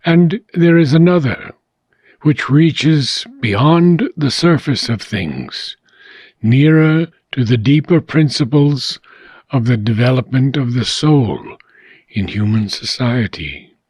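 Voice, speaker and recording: A man reading a philosophical book aloud, in deliberate, measured phrases with frequent pauses. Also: mid-range voice, expressive, darkish tone, slightly rough, no room sound. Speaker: audiobook reader.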